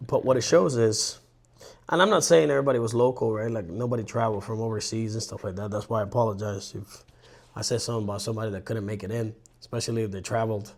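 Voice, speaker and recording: A man in his thirties from Colombia, telling stories in a rambling, animated way on a casual podcast. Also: fairly low pitch, quick pace, creaky. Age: 30s. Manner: rambling, animated storyteller with restarts. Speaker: podcast co-host. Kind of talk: casual chat.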